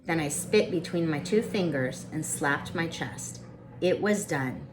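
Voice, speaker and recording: A woman reading a children's storybook aloud as the storyteller, with expressive, dramatic narration. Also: low-pitched, measured pace, noisy background.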